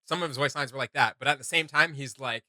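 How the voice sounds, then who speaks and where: A man's voice: medium pitch, quick pace, pushed and bright, dry recording, studio quality. A man co-hosting a reaction video, chatting informally and emphatically as he thinks aloud. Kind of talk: casual reaction commentary.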